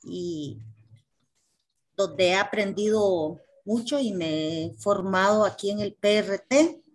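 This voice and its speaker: A middle-aged woman speaks as an activist at an online meeting. Her delivery is slow and hesitant, with a medium pitch and frequent tag questions. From Nicaragua. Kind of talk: halting informal political speech. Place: small indoor office, on a video call.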